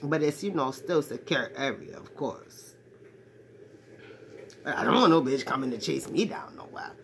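A woman's voice: very deep voice, animated, moderate pace, gravelly, slight background noise, heavily acted. A woman playing a brash, crude man in his forties who talks like a swaggering ladies' man, rambling to a phone camera in a small bedroom. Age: 40s. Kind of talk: comedic character monologue to camera. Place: small cluttered bedroom indoors. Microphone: phone at arm's length.

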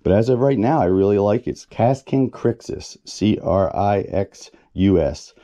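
A man in his forties with a low, mellow voice talks casually through his fishing gear. He rambles a little and drops fillers as he goes, sitting at a workbench in a small room. The sound is close, picked up by a camera on his head. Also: unhurried. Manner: relaxed, rambling, plain-spoken with filler words. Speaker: fishing channel host.

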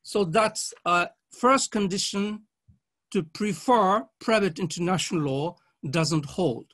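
High-pitched man giving a formal academic talk. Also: a bit bright. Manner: measured, halting delivery in short phrases. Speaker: conference speaker, legal academic. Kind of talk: formal academic conference presentation read with slides.

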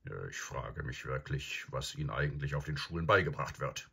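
A man voicing a serious, calm old professor in a storybook, speaking deliberately with pondering hums and murmurs. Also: low-pitched, husky, exaggerated voice. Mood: murmuring, earnest. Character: serious old male professor, calm, probing, absent-minded. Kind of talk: audiobook character acting.

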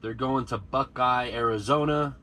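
A man's voice: mid-range voice, moderate pace. A man with an American accent, a truck driver chatting casually to camera while he cooks in his cab. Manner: relaxed, rambling, talks to camera while cooking. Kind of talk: casual vlog chat while cooking. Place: inside a truck cab at a truck stop.

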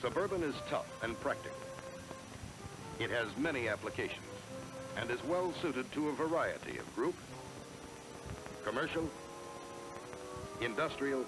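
A man's voice: medium pitch, big pitch swings, unhurried, pauses often, poor audio. An American man reading scripted promotional narration in a polished, emphatic salesman style, on a 1970s film soundtrack. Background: music.

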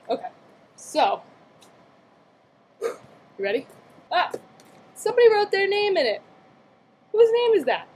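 A woman's voice: high voice, slight background noise, poor audio. A young adult woman doing a read-aloud storytime for small children, playful, with chatty questions and exclamations. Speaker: aunt reading a picture book to young relatives. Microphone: computer webcam mic at arm's length.

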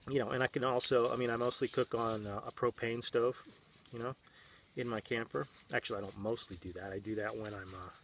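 Casual man in his thirties, outdoors, chatty and meandering, medium pitch, picked up from a few feet off with light background noise. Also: far from the mic. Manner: rambling, conversational, many fillers and restarts. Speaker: vlogger. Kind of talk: casual how-to demonstration. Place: outdoors in open desert. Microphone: camera mic, on-camera and off-frame.

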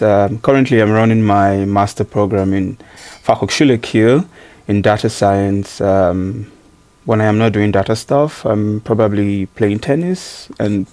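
Low-voiced man, thoughtful. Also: a little mellow. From Nigeria. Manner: thoughtful, many ums and uhs, laughs easily. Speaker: interview guest, student. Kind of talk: casual interview answers.

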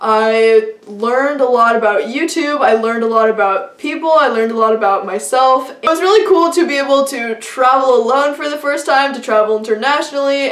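A woman's voice: a little high, a bit bright, echoey room, distant mic. A young woman talking to camera in a casual, upbeat vlog, chatty and full of fillers. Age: young adult. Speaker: vlogger. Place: small indoor room before a plain wall.

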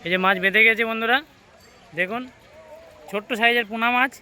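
A man talks quickly in a high, piercingly bright voice. He gives excited, casual running commentary out in the open, addressing his viewers directly like a vlogger. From West Bengal, India. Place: outdoors beside a pond.

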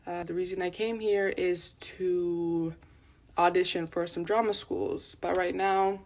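A woman's voice: fairly low pitch, fairly even intonation, unhurried. A young woman in her twenties from Finland, talking casually about her own situation to camera. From Helsinki, Finland. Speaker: aspiring drama student abroad. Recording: loud music bed under speech. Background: loud music.

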